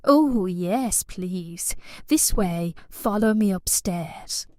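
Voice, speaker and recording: A woman's voice: medium pitch, expressive, extremely slow, mellow, no echo. A woman voicing a posh British lady of the house, coy and seductive, lingering suggestively over her words in an audio play. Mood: coyly inviting.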